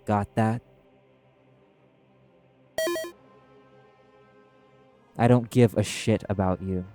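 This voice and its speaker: A man's voice: on the low side, fairly even intonation, moderate pace, over music, lightly acted. A man playing a brash, proud demon in an audio roleplay, terse, scornful and commanding in manner. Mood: stern, threatening. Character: brash, proud, curt demon, prickly and guarded.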